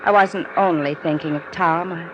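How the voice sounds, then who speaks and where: A woman's voice: fairly low pitch, fairly even intonation, moderate pace, lo-fi sound, natural voice. Actress doing a nervous young woman, stammery and pleading, hissy old radio play. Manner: hesitant, breathy pleading with many restarts. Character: anxious young woman caught in a crime chase. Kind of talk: 1950s radio drama acting. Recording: old radio recording, noisy.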